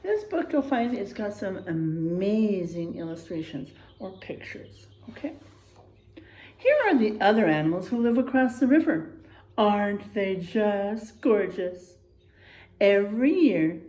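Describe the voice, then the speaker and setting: A woman reading a picture book aloud to children in a teacherly, expressive way, checking in with her listeners as she goes. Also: mid-range voice, a little slow, roomy sound. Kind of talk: animated children's read-aloud with asides to listeners.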